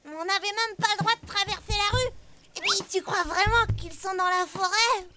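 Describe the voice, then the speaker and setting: A woman's voice: very high-pitched, moderate pace, background noise. A woman playing a worried, hesitant little child in a cartoon dub. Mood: fearful. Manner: anxious, hesitant. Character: timid, worried little child tagging along. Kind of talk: French cartoon dubbing.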